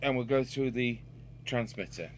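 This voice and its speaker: A man's voice: mid-range voice, normal speed, slight background noise. A man giving a casual, unscripted hands-on repair demonstration, musing aloud as he works. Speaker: radio repair hobbyist and video presenter.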